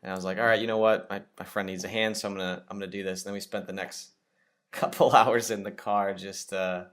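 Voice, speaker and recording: Lower-voiced upbeat male podcast host. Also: little pitch movement, a little fast, fairly bright tone. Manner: upbeat, chatty storyteller. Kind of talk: casual podcast interview.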